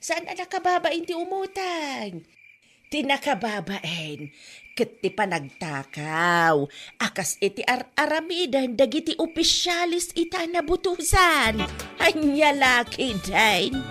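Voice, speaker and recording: A woman acting a meddling, sharp-tongued older village woman, preachy and exclamatory in a comedy drama. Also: high-pitched, expressive, slow, soft background music, heavily acted. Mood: preachy, indignant.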